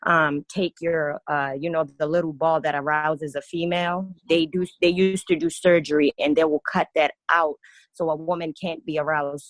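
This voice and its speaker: A young woman from Kenya speaks as a radio show guest, earnest and halting, with frequent fillers. Her voice sits low. The recording is dry and close. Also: no room sound. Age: 20s. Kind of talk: radio interview, personal testimony.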